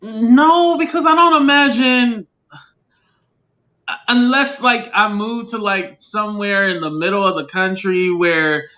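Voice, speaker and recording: A man with a high, bright voice joins a live chat as a guest, coming through dull lo-fi call audio. He talks in an easygoing, agreeable way and laughs along. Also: unhurried.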